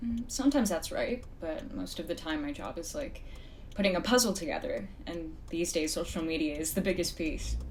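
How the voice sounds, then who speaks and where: A tough female private detective played by a woman in an audio drama. Her voice sits fairly low, measured and commanding, wary and terse. Background noise runs behind her. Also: a little mellow, natural voice. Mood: dry, observant. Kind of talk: audio drama acting with noir narration.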